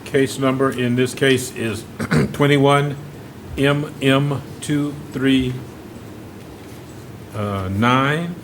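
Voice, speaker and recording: A man's voice: mid-range voice, fairly even intonation, extremely slow, pauses often, noisy background. Firm male judge repeating questions. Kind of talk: courtroom bond hearing.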